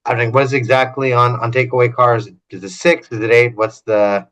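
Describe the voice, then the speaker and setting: A man's voice: medium pitch, flat delivery, fast, fairly bright tone. A man co-hosting a fantasy game podcast, explaining strategy in an analytical, talkative way. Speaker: podcast co-host.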